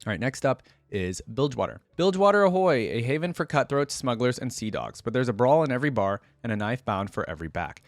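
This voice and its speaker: A man's voice: mid-range voice, sing-song, fast, few pauses, dry recording. A man hosting a reaction video, switching between casual off-the-cuff commentary and reading text aloud.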